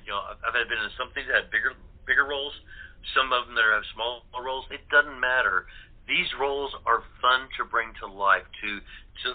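A man speaking as an interview guest, casual and earnest, rambling and often repeating words. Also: mid-range voice. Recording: tinny phone-like line.

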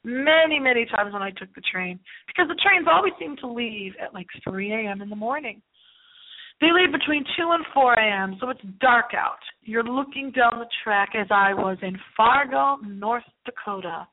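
A middle-aged woman hosts a late-night horror radio show in a fairly high voice. She speaks theatrically, repeating phrases and drifting into asides. The sound is thin and narrow, like a telephone connection. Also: expressive, moderate pace. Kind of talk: dramatic, rambling late-night horror movie show monologue.

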